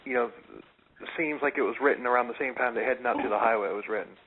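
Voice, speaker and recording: Florida radio host guy, mid pitch, keeps restarting sentences, tinny lo-fi sound. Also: fast, a little hiss or hum. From American South, Florida. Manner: hesitant, many fillers and restarts. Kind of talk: casual radio interview chat.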